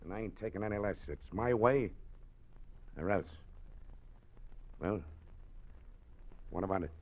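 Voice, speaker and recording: An actor playing a low-voiced gangster boss. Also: male voice, measured pace, close to an everyday voice. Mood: menacing. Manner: hard, clipped tough-guy talk. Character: scheming male gangster boss, menacing. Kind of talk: 1940s radio acting. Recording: dull old radio broadcast.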